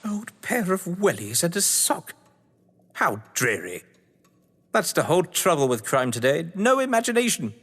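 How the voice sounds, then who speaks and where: An actor playing a whimsical, scheming crime-novelist lord of the manor, with a British accent and a pompous, florid delivery, in a stage-thriller audio drama. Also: male voice, a little high, big pitch swings, moderate pace, fairly bright tone, breathy edge, full character voice. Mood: exasperated, rousing. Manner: florid, pompous, playfully grandiose. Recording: long hall-like reverb.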